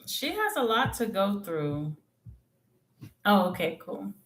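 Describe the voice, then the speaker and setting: A woman's voice: mid-range voice, big pitch swings, slow pace, low-quality recording. A woman giving live tarot readings in a candid, slangy, chatty way, thinking aloud with hums and trailing phrases. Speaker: tarot reader. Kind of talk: casual live-stream reading and chat.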